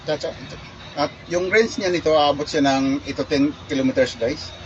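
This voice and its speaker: Casual male reviewer, slightly high voice, rambling and repetitive, muffled phone recording. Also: normal speed, a little hiss or hum. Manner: chatty, repeats himself, many restarts and fillers. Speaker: product reviewer vlogger.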